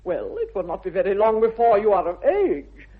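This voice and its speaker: An imperious older English aristocrat in a vintage radio comedy. Her upper-class delivery is haughty and emphatic, swooping widely with a husky edge. The recording is muffled and tinny. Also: mid-range voice, very animated, moderate pace. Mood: lofty, imperious. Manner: grand, haughty, emphatic drawl. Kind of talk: 1960s radio comedy acting.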